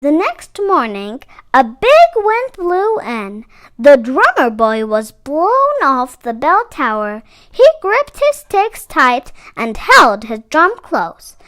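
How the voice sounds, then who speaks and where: A woman's voice: sits high, wildly swooping pitch, slow pace, a bit bright. A woman narrating a children's picture book expressively, with dramatic phrasing. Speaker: read-aloud storyteller for children.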